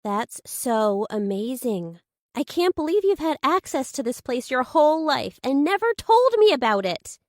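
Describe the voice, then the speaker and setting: Woman voicing an excitable, nosy friend, pitched up. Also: unhurried, no room sound, studio quality. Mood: amazed, prying. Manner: emphatic, exclaiming, eagerly questioning. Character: nosy, excitable female friend, pushy and dramatic. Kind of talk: audiobook character dialogue.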